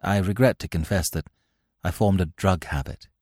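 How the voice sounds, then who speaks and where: A man's voice: low voice, normal speed, a little mellow, dry recording, studio quality. A man voicing a respectful former soldier who gives a reluctant confession in an audiobook. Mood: reluctant, resigned. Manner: hesitant, respectful. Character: deferential male ex-soldier confessing as a bribed witness.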